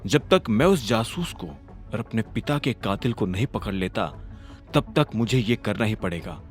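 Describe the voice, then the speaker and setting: A male narrator voicing a calm, determined young hero in a storybook audio drama. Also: fairly low pitch, moderate pace, soft background music, pitched below the performer's own voice, lightly acted.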